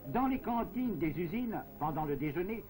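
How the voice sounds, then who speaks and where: A man delivering formal, measured, scripted narration in the declamatory style of a 1940s propaganda film. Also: high-pitched, expressive, darkish tone, poor audio. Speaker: newsreel narrator. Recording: 1940s film soundtrack with music. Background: music.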